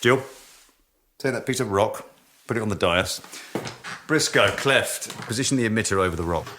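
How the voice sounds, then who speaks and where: A man's voice: lowish voice, normal speed, sharp tone, slight background noise. An actor playing a driven, bossy male project leader in a radio drama, blunt and commanding. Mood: boastful, commanding. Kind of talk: naturalistic radio drama acting.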